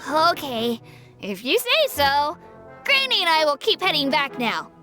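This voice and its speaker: A woman's voice: sits high, big pitch swings, unhurried, pushed and bright, soft background music, full character voice. A woman voicing an eager, innocent little boy in a video game, childlike and pleading. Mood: curious, concerned. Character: eager, innocent little boy who adores his uncle.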